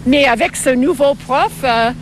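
An older woman from Canada, a native English speaker with an English Canadian accent, answering interview questions in halting second-language speech. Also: high-pitched, a little hiss or hum. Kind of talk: casual conversational interview.

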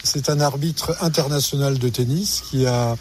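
A man reporting for radio from a tournament, introducing and interviewing a guest in a measured way. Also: mid-range voice, noisy background.

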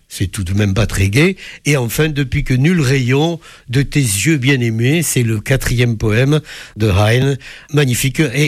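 Man, medium pitch, dry sound, music critic, enthusiastic concert review, effusive, literary phrasing. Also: no echo.

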